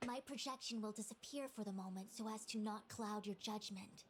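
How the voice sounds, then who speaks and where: Woman as self-important young master diviner for a game: mid-pitched, formal, verbose, mildly exasperated, ambient noise. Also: fairly quick, close to an everyday voice. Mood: composed, instructive. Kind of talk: scripted video game voice acting.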